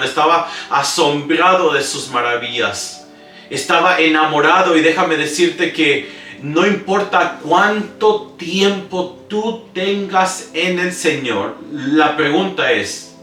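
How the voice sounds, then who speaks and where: A man preaching a sermon in earnest, reading scripture aloud and pausing to correct himself, who mostly preaches in English these days. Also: fairly high pitch. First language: English-dominant speaker preaching in Spanish. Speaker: pastor. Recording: roomy, distant mic with soft music. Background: soft instrumental music.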